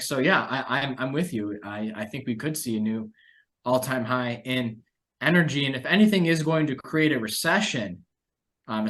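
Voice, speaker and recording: A man hosting a market analysis show, giving conversational financial commentary in a measured way, thinking aloud as he goes. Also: medium pitch, a bit bright. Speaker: host of a market analysis podcast.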